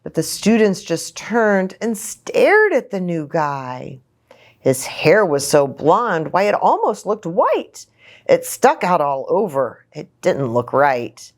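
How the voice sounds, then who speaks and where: A woman hosting a children's story time, reading a picture book in an expressive, sing-song way and speaking to young children. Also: on the low side, takes it slowly. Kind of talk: animated children's picture-book read-aloud.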